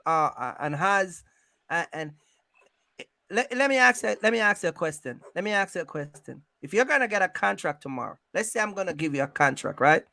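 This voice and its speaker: A man hosting an animated advisory conversation about business, speaking emphatically, repeating himself and often checking in with questions. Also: high voice, brisk, creaky, no room sound, clean studio sound. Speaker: host giving business advice to truck owners.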